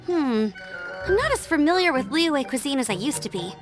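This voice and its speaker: An eager young game scout, high and lively, polite. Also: female voice, normal speed, music in the background. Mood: friendly. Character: cheerful young woman scout, friendly and polite.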